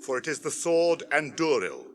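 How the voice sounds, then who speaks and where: An actor playing a proud, noble warrior in a British radio fantasy drama, reluctant and formally declaring himself. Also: male voice, fairly high pitch, sing-song, normal speed. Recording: faintly noisy radio drama.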